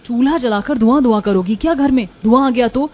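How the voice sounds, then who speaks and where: A woman's voice: fairly high pitch, a little fast, dark tone, lightly acted. Snobbish, haughty rich young wife played by a woman. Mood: scornful. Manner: snobbish, curt, complaining. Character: haughty rich elder daughter-in-law, scornful and lazy. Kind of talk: Hindi moral cartoon story dialogue.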